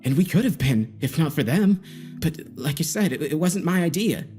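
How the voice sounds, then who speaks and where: Man acting out a higher-pitched, agitated young gamer suspect. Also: expressive, moderate pace, a little mellow, lightly acted. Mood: defensive, agitated. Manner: agitated, defensive, self-justifying. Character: nervous young male suspect, defensive competitive gamer. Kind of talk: dramatic audio story dialogue. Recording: background music behind the voice.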